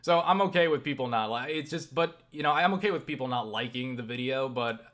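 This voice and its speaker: A man rambling through a casual vlog monologue, halting and circling back on himself, with casual swearing. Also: mid-range voice, fairly bright tone. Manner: halting, self-interrupting, circling back with repeated "you know"; casually profane. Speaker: YouTube vlogger.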